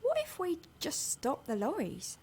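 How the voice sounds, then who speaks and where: A woman playing a soft, earnest protester in an audio drama, breathy and tentative. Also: sits high, wildly swooping pitch, measured pace, exaggerated voice. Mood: tentative, hopeful.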